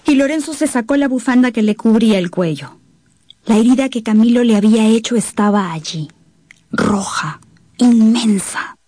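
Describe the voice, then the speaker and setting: A woman's voice: a little high, animated, normal speed, mellow, no echo, clean studio sound. A woman narrating a tragic radio drama as a solemn storyteller, in scripted dramatic style.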